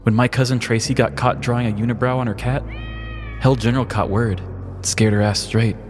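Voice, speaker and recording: A man's voice: mid-range voice, fairly even intonation, fairly quick, big-room sound, soft background music, natural voice. A man voicing an earnest, fearful boy who talks in an ominous, overdramatic way. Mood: alarmed.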